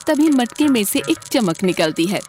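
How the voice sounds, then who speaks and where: A woman's voice: a little high, very animated, normal speed, a little mellow. A woman narrating a scripted children's story as the storyteller.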